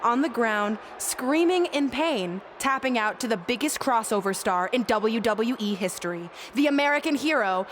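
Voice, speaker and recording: A woman's voice: a little high, lively intonation, moderate pace, few pauses, a bit bright, a little hiss or hum. A woman playing a cocky, taunting wrestling star in a video game, boastful and mocking. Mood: boastful, threatening. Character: cocky, taunting female wrestling star and self-styled hero.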